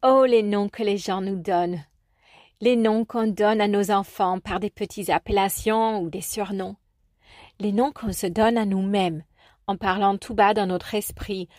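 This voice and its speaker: Slow, soft-spoken woman host. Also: medium pitch, no echo, clean studio sound. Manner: soft, slow and contemplative, gentle emphasis. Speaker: chronicle host. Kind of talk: reflective spiritual radio chronicle.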